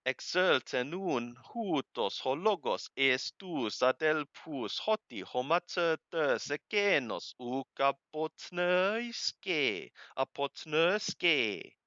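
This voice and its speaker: A man reading scripture aloud phrase by phrase and translating literally, with deliberate, halting, emphatic phrasing. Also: on the high side, bright, forceful tone, smooth, no room sound, highs cut off. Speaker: Bible reader and translator.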